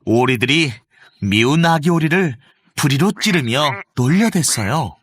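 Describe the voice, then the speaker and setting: A man narrating a children's storybook with an expressive storytelling lilt. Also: on the high side, measured pace, slightly rough, no echo.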